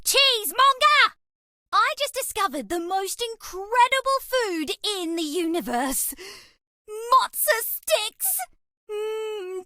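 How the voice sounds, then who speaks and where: Girlish alien mouse, video game character, coy, playful, dramatic, very animated, slow-paced. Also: very high-pitched, no echo, studio quality, exaggerated voice. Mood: cheerful. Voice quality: cutesy, girlish.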